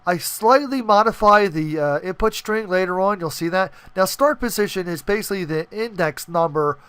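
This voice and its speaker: A man's voice: high-pitched, expressive, moderate pace, a bit bright, clear, resonant tone, dry recording. A man hosting a casual screen-recorded coding tutorial, talking informally and often checking in with the listener. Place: indoors, voice-over on screen capture.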